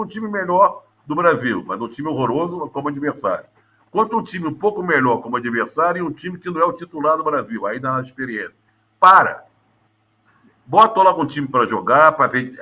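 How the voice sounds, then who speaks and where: A Brazilian man giving an exasperated, emphatic opinion in a studio sports debate, with loud bursts and low mutters. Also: husky, rough recording. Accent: Brazilian Portuguese. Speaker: sports pundit.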